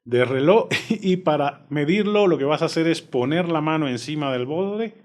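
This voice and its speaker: A man with a Cuban accent walks through a gadget review in a relaxed, conversational way, clearly enjoying it. His voice sits a bit on the high side. He sounds like he's recording in his own home studio. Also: moderate pace. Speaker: tech reviewer. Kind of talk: product review.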